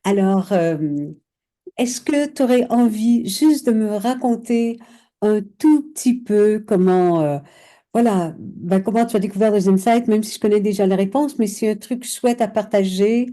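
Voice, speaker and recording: Dark-voiced woman host, hesitant. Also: mid-range voice, a little slow. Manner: hesitant, filler-heavy, friendly questions. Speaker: interviewer, host. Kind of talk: casual interview chat.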